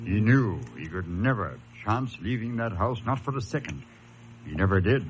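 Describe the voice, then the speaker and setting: An actor playing a brooding, ominous storyteller, delivering dramatic scripted narration with deliberate pauses. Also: male voice, on the low side, lively intonation, noisy background, lightly acted. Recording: muffled radio recording, loud music behind.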